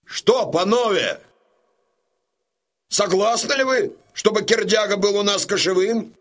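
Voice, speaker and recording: A man's voice: high-pitched, a little slow, fairly bright tone. An actor playing a bold Cossack man who goads a crowd toward war in a declamatory audio drama style. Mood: commanding. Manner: declamatory, goading. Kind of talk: Russian audio drama acting.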